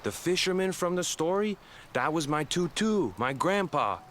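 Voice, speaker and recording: A man's voice: fairly high pitch, animated, normal speed, fairly bright tone, a little hiss or hum. An actor playing a young island man who earnestly retells his family's legend. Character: young Hawaiian man, grandson of a cursed fisherman. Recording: game audio over background music.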